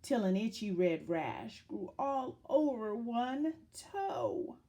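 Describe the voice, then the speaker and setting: Female children's story reader: unhurried, highly expressive, dramatic read-aloud delivery with wide pitch movement. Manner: dramatic, deliberate storytelling with questions to young listeners.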